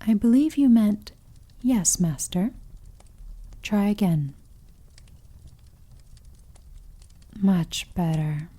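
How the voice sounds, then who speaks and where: A seductive vampire mistress, acted by a woman in an ASMR roleplay, with a very dark, velvety tone. She speaks extremely slowly and possessively, purring condescending endearments. Her commands often melt into breathy whispers. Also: mid-range voice, expressive. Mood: stern.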